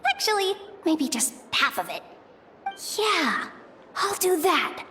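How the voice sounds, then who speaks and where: A young actress playing a guarded, pragmatic young woman with a soft heart and a wry, casual way of talking in a video game. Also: very high-pitched, very animated, slow, slight background noise, exaggerated voice. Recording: studio voice over game music. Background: background music.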